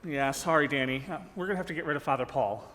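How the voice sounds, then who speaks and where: An actor playing an apologetic, earnest, halting theater director in a live radio comedy. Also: male voice, fairly high pitch, a bit bright, lightly acted. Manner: halting, placating. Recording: reverberant ballroom stage.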